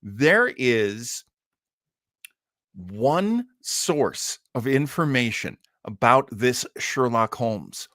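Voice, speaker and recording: A man's voice: mid-range voice, lively intonation, extremely slow, a bit bright, dry recording, clean studio sound. A man hosting a livestream, chatting casually with jokey, rambling asides. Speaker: livestream host. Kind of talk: casual chat commentary on old radio.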